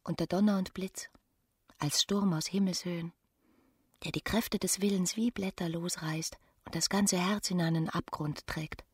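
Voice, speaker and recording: An actress playing a romantic young married woman in a radio play, speaking in a hushed, intimate, breathy half-whisper. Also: lowish voice, steady tone, moderate pace, dry recording, clean studio sound. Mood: rapturous. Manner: intimate, hushed, literary delivery. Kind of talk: German radio play acting.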